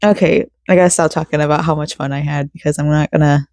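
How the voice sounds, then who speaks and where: An American woman in her thirties chats in a rambling, personal vlog style. Her voice is low, soft-edged and mellow, with a hoarse rasp. The sound is dry, with no room echo. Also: steady tone, quick pace. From Florida, United States. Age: 30s. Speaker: vlogger.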